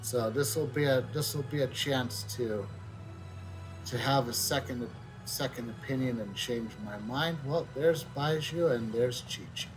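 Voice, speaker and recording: A man's voice: measured pace, poor audio. A man giving casual, hesitant commentary as he streams a video game. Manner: hesitant, low-key. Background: game music.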